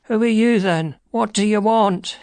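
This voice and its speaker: A man's voice: high voice, measured pace, a bit bright, voice pushed up. A man voicing a cringing, nervous little man with a thin, whining, quavering voice in an audiobook story. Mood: frightened, quavering. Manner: timid, pleading.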